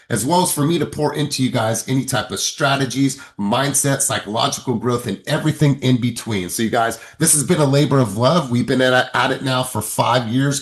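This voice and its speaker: An upbeat man leads a group coaching session over a video call. He pumps up his listeners with repeated, rallying phrases. His voice sits in an ordinary middle pitch. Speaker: sales coach and session host.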